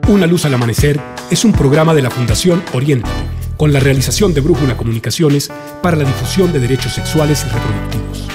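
A man's voice: mid-range voice, expressive, fast. A man reading a scripted introduction as the announcer of a radio drama. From Colombia. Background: guitar music.

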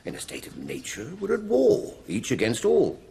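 An actor playing a scornful, self-interested banker in a radio drama, speaking in a blustering, emphatic way. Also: male voice, mid-range voice, huge pitch swings, moderate pace, creaky, warm tone, a little hiss or hum.

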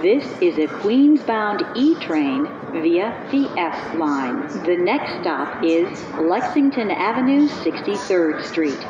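A woman reading scripted subway announcements in a measured, precisely enunciated way. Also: big pitch swings. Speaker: recorded subway train announcer. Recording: muffled, noisy onboard train speaker recording. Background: subway train rumble and noise.

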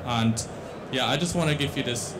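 A man's voice: medium pitch, normal speed, background noise. A young man with a Dutch accent giving a tech conference talk on a large stage into a handheld mic, with many restarts and fillers. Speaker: conference speaker.

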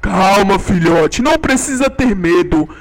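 A man voicing a gentle, worried father dinosaur who reassures his young hesitantly. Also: high voice, animated, measured pace, over music. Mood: reassuring.

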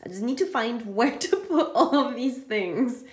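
A woman in her twenties chatting casually to her phone in a small bedroom, full of "like" and little giggles, her voice a bit croaky. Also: a little high, lively intonation, unhurried. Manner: chatty, lots of "like", giggly asides. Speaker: vlogger. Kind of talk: casual vlog chat. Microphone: phone held at arm's length.

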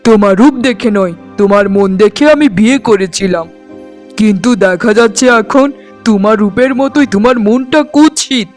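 A man's voice: high voice, moderate pace, faint music behind, close to an everyday voice. A man playing an angry, devoted young husband and son in a melodramatic, emphatic cartoon style. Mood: angry, scornful. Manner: emphatic, scolding, dramatic.

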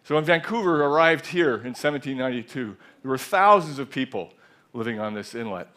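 A man in his sixties telling a measured, reflective story in a talk on a large theater stage, with a headset mic. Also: mid-range voice, expressive, bright, forceful tone. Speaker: speaker. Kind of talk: conference talk.

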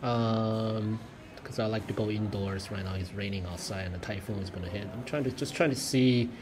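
A man narrating a casual travel vlog as he walks through streets, a mall and a subway station, halting with many fillers and self-corrections. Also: lowish voice, steady tone, noisy background. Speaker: travel vlogger.

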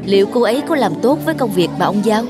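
A woman's voice: medium pitch, animated, quick pace, loud music behind the voice, lightly acted. A woman voicing an older male schoolteacher in a cartoon, reserved and strict but kind. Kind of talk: cartoon dub acting.

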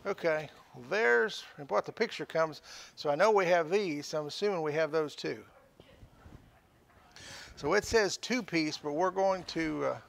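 A man's voice: a little high, moderate pace, gravelly, fairly bright tone. An older man hosting an auction show, casually describing items for sale with folksy jokes. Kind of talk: casual on-air sales pitch. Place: small studio room with sale items.

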